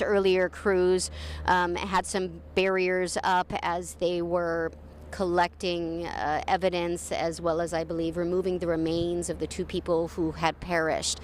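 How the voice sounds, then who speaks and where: Woman reporting live from a noisy street. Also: on the low side. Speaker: TV field reporter. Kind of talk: live news report, partly ad-libbed. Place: outdoors on a roadside at an incident scene. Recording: noisy outdoor street with traffic.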